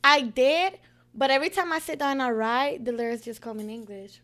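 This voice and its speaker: Young woman guest from Puerto Rico, relaxed chatter, high-pitched voice. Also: a little fast. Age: young adult. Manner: giggly, relaxed, lots of "you know" fillers. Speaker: guest musician. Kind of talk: casual interview chat.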